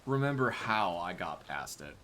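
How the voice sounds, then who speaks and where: Chatty, animated male game streamer. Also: deep voice, moderate pace, slight background noise. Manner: joking, chatty, animated reactions. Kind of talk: casual live-stream chat while gaming.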